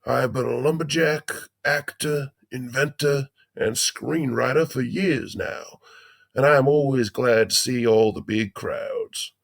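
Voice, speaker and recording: A man's voice: mid-range voice, takes it slowly, gravelly. A man playing a folksy older animatronic beaver, a cheerily macabre father putting on a hammy stage show in an audio drama. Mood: cheery showman.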